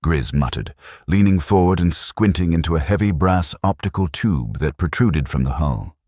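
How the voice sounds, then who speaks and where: Very deep dramatic male narrator. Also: animated, normal speed, a little mellow, no echo, studio quality.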